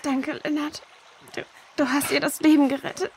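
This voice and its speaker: A woman's voice: sits high, flat delivery, normal speed, background noise. A woman playing a caring, capable rescuer and loyal friend in a children's audio drama. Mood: grateful.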